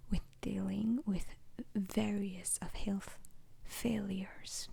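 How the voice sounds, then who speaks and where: Woman playing a slow whispering space-academy instructor. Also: mid-range voice, a little mellow, full character voice. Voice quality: breathy, husky whisper. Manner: slow, deliberate, halting, formal. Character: calm, formal female instructor at an intergalactic space agency. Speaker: instructor in an ASMR roleplay. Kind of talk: whispered ASMR roleplay lesson.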